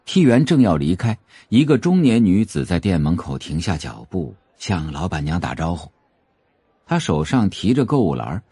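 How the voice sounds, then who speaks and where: A man's voice: deep voice, warm tone, breathy edge, no echo. A man reading scripted narration for an audio drama, telling the story as a novel's narrator. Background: faint music.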